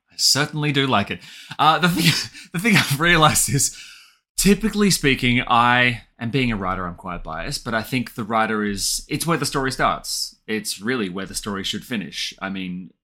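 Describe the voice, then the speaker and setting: An Australian man talking reflectively and articulately in an interview, with an animated storytelling way about him. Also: medium pitch, moderate pace. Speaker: guest author and audio drama writer.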